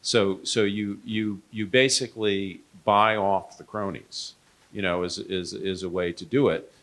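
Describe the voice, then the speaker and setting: Male panelist, low voice, unscripted and halting delivery, thinking aloud at a live event. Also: fairly even intonation, a bit bright. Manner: halting, frequent "you know". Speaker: panel speaker.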